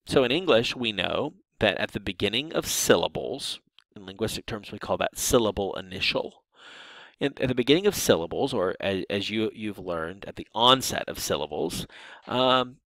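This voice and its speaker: A man's voice: lowish voice, creaky, a bit bright, no room sound, rough recording. A man teaching a linguistics course in a recorded lecture over slides, explaining patiently with frequent restarts and fillers. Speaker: linguistics instructor.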